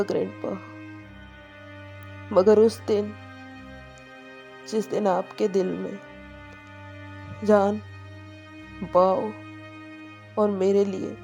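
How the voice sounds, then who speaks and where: A woman's voice: mid-range voice, dark tone. A woman voicing a resolute, earnest young man standing firm in a dramatised story. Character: resolute young man defying his grandfather.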